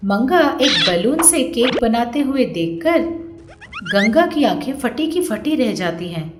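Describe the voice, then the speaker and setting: Female narrator for children's tales: high, animated delivery, roomy echo, faint music underneath. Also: measured pace. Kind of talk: scripted children's story narration.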